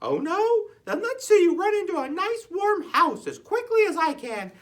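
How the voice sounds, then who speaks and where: A scornful storybook cat, pitched very high and bright. She taunts and boasts in exaggerated, drawn-out phrases, with big swoops of pitch. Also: very animated, full character voice. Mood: mocking. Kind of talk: animated children's storybook read-aloud.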